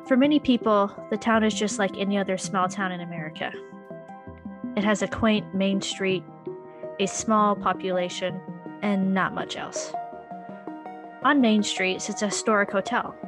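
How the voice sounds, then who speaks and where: A woman narrating a scripted audio documentary in a wry, conversational storytelling manner. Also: mid-range voice. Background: music underscore.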